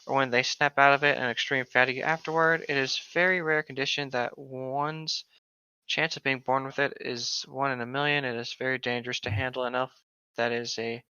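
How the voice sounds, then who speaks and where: A young man with a bright, smooth voice, reading aloud and tossing in casual asides. He is informal and jokey and trips over difficult words. The recording is close and dry, a little dull. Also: medium pitch, level tone, fairly quick, no room sound. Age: young adult. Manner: informal, joking, stumbles over hard words. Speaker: co-host reading fan fiction aloud. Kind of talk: casual group read-aloud with joking asides.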